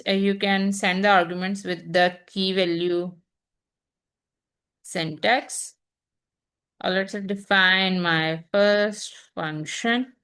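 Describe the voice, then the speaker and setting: A young woman teaching programming in an unhurried, think-aloud way, pausing and restarting as she types examples. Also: fairly low pitch, a bit bright, clean tone. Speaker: programming instructor. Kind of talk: screen-recorded coding tutorial.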